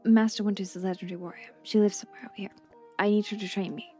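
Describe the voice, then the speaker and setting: A woman's voice: mid-range voice, fairly even intonation, racing, dark tone, soft background music, close to an everyday voice. A woman voicing a driven, exhausted young female warrior, earnest and hesitant. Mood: guarded. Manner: earnest, hesitant, self-questioning.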